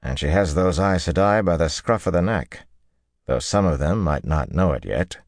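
A man voicing a calm, courteous nobleman officer in an audiobook, speaking politely and with measured assurance. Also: low-pitched, no echo, clean studio sound. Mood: calm, assured. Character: calm, courteous nobleman soldier, loyal second-in-command.

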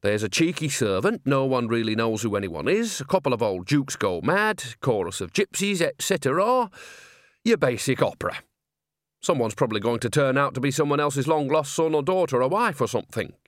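A man's voice: mid-range voice, very animated, a little fast, dry recording, studio quality, natural voice. A man voicing a cheerful, gossipy old woman witch. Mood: chatty. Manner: gossipy, breezy.

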